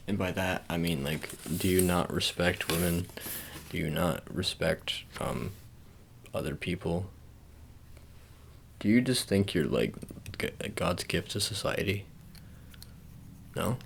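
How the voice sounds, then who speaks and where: A man doing an improvised ASMR roleplay as a sports agent, talking in halting, repetitive, murmured reassurances. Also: deep voice, creaky, a little hiss or hum. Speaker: ASMR performer.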